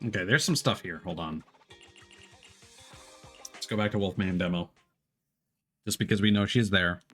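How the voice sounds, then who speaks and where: A man's voice: sits low, normal speed. A man streaming a video game, thinking aloud in casual, analytical commentary. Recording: loud game music behind. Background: loud video game music.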